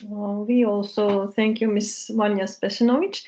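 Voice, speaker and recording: A woman's voice: mid-range voice, steady tone, a little slow, warm tone. A woman moderating an academic symposium session in a polite, tentative way, heard over a lo-fi online call. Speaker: session chair. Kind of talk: informal spoken moderating of a symposium.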